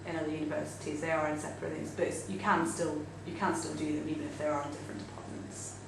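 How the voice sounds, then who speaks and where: Woman w lowish voice, casual chatty questions, far from mic and super echoey. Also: flat delivery, fast talker, creaky. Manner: informal, conversational. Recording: very echoey noisy room, distant mic.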